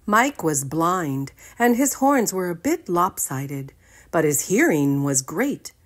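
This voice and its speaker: An older woman giving an expressive read-aloud storytime for young children, in a deliberate, animated manner. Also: fairly low pitch. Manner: deliberate, animated, addresses the listeners with questions.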